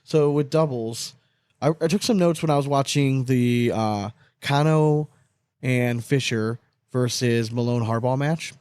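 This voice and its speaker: Man, co-host, middle pitch, dry close sound; animated, blunt, swears freely. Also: a little slow, smooth, dry recording. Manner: animated, opinionated, swears often. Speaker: podcast co-host. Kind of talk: casual sports chat.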